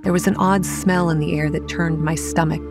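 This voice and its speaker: A young woman playing a wry campground manager, telling a horror story in a measured, scripted first-person style. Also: low voice, fairly even intonation, lightly acted. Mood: grave. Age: young adult. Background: music.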